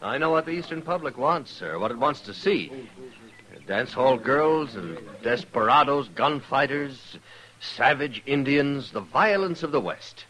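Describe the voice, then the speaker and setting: Animated, pompous Old West photographer. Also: male voice, mid-range voice, measured pace, poor audio. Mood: grandly eager. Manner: fussy, hesitant, grandiloquent. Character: excitable, scheming, self-important photographer professor from back East. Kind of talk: 1950s radio western acting.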